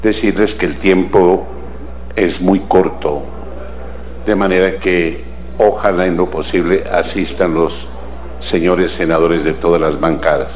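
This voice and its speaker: An older man with a Colombian accent, a senator making courteous, deliberate formal remarks in a committee session. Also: sits low, fairly even intonation, darkish tone. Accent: Colombian Spanish. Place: large legislative chamber. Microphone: desk gooseneck microphone. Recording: roomy chamber with faint ambience.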